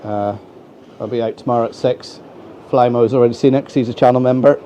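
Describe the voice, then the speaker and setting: A man's voice: mid-range voice, steady tone, fairly quick, warm tone. An Irish man with a head cold, sounding stuffy, chatting casually as he hosts a live stream and greets viewers by name. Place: home model railway room. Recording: dull, slightly lo-fi home mic with faint noise.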